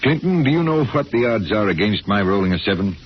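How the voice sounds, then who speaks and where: An American actor playing a troubled, brooding professor in a 1950s radio drama, speaking in an earnest, formal, hesitant way. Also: male voice, lowish voice, big pitch swings, a little fast. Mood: anxious, confessional. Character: troubled, brooding male professor with a frightening mental power. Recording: muffled vintage 1950 radio, faint noise.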